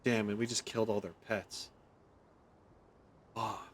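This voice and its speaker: A man's voice: fairly low pitch, little pitch movement, a little slow, a little hiss or hum. A man streaming a video game with casual, informal commentary, reacting aloud as he plays. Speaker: gaming streamer.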